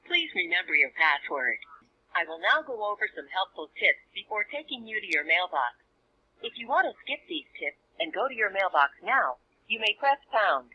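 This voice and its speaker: A woman's voice: medium pitch, slightly rough. A woman reading scripted automated voicemail prompts, heard through a telephone. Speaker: automated voicemail system announcer. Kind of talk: scripted phone menu prompts. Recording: dull lo-fi phone speaker playback.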